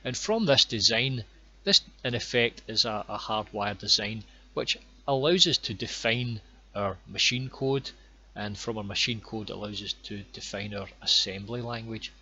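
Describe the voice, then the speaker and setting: A Scottish man guiding viewers through his online course with plain, unhurried explanations and a few ums and repeated words. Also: lowish voice, bright, forceful tone, slightly rough, lo-fi sound. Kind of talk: screen-recorded course walkthrough.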